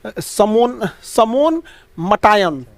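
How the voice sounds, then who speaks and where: Male lecturer, high-pitched, Bihari accent, informal explanatory delivery full of everyday speech, dry recording. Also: drawn-out words, dry recording. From India. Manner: colloquial, animated explaining with homely examples and rhetorical questions. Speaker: teacher. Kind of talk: informal current affairs lecture.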